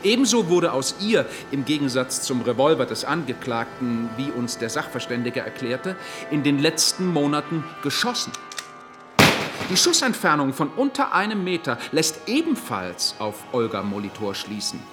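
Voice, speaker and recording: An actor playing a stern, accusatory lawyer in a radio courtroom drama, speaking in formal, pointed rhetoric. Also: male voice, medium pitch, sing-song, moderate pace, music in the background, lightly acted. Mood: insistent, formal. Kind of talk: German radio play acting.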